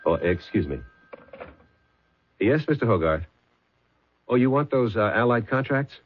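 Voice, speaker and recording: A man playing a calm, dogged police detective in a 1970s radio drama, talking mildly and hesitantly with many pauses. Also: medium pitch, slightly rough. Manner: mild, hesitant, with many uh's. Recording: phone-like old radio broadcast.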